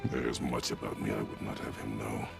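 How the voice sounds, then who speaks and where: A brooding warrior father from a fantasy game, with an extremely low voice full of heavy gravel. He is stern and terse and speaks in curt commands. Faint music runs underneath. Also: flat delivery, normal speed, exaggerated voice. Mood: stern, guarded. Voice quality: deep gravelly voice.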